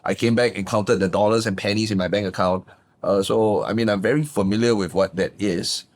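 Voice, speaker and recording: A man, a guest business coach telling his backstory in a lively, emphatic way. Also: a little fast. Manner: animated, emphatic, swings pitch up for punchlines. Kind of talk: casual interview storytelling.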